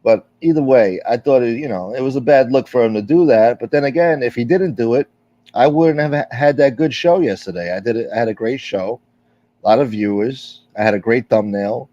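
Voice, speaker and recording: A middle-aged man hosting a casual livestream, rambling through viewer comments with plenty of fillers and blunt swearing. His voice is dark and mellow, and the dull, lo-fi audio sounds dry, with no room around it. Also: medium pitch, fairly quick, dry recording. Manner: rambling, candid, profane, with frequent fillers. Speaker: livestream host.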